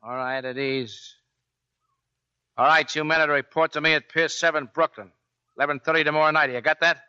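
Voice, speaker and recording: A man with an American accent playing a gruff, blunt Navy gunner's mate in a 1940s radio drama. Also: fairly high pitch, fairly even intonation, fairly quick, sharp tone. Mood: gruff, commanding. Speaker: actor playing lead and narrator. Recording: dull 1940s radio recording with music.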